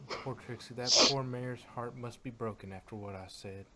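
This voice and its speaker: A man's voice: mid-range voice, steady tone, normal speed, pushed and bright. A man voicing an earnest, guilt-ridden female farm pony in a fan fiction reading. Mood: guilty, regretful. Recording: noisy, distant-sounding home recording.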